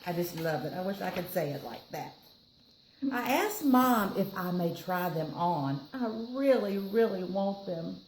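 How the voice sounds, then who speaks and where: A woman with a Southern US accent reading a picture book aloud to children, with playful, expressive, chatty asides. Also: fairly low pitch, unhurried, reverberant, a little hiss or hum, low-quality recording. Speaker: children's storytime reader.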